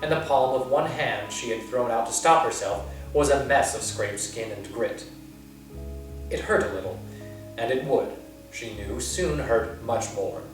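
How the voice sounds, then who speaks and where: Slow, expressive male storyteller in an echoey room. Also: mid-range voice. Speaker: library storyteller. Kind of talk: storybook read-aloud. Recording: echoey room, far from mic, soft guitar.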